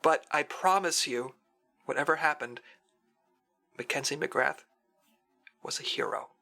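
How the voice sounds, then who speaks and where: An actor playing an earnest secret agent who records a solemn, dramatic farewell message in an audio drama. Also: male voice, on the high side, measured pace, vocal fry, bright, forceful tone, faint music behind, full character voice. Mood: solemn, heartfelt. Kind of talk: audio drama acting, scripted monologue.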